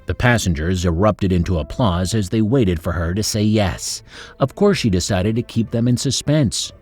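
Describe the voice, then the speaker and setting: A male storyteller reads a script in a suspenseful, upbeat way. His pitch sits on the low side but swings about in an animated manner. Music plays behind the narration. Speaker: narrator and host of a story channel.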